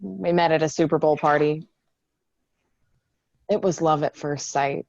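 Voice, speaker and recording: A woman's voice: low voice, steady tone, a little slow, creaky, darkish tone, no room sound, lo-fi sound. A woman playing a guarded, stubborn, wounded young Marine veteran in a screenplay table read. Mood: wistful, bitter.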